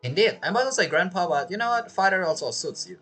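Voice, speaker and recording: A man's voice: high voice, lively intonation, fast talker, a bit bright, soft background music. A man giving casual, playful reaction commentary on a video game story, chiming in informally.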